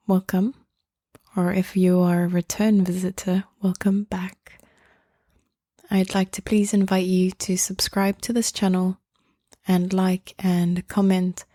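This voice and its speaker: A woman leads a guided meditation in a mellow, soft-edged voice that stays very even in pitch. She speaks gently and very slowly, leaving long pauses between phrases. The sound is close and dry. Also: no room sound. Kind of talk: guided meditation, slow and soothing.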